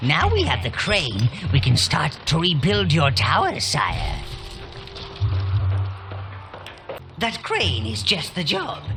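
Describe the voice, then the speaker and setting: A man voicing a scheming, fawning old goblin-like servant of an evil master in a video game, theatrical and disdainful, with a gravelly voice. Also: fairly high pitch, exaggerated voice. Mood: fawning, gloating. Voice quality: gravelly, creaky. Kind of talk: video game character acting. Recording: music behind the voice.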